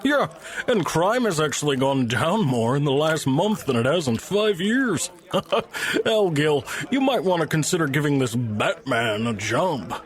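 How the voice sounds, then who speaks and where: Man acting slow, swooping, needling dinner guest. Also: a little high, very animated. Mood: amused. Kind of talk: scripted audio drama dialogue.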